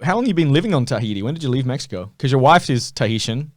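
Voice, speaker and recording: A man hosting a podcast interview, asking questions in a quick, friendly way. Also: mid-range voice, expressive, no echo, clean studio sound. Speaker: podcast host, former race commentator. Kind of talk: casual interview chat.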